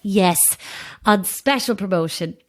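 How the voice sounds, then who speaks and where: A woman's voice: mid-range voice, takes it slowly, lightly acted. A woman playing a nervous, polite make-up saleswoman in an audio drama, with flustered manners and flowery patter. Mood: grand sales patter. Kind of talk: audio horror drama acting.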